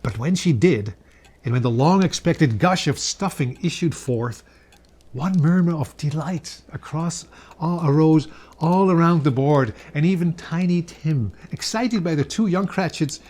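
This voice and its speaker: A man reads a classic Christmas story aloud in a fairly high voice. He takes his time, savoring phrases and leaving theatrical pauses, as a storyteller giving a dramatic reading. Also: a little mellow.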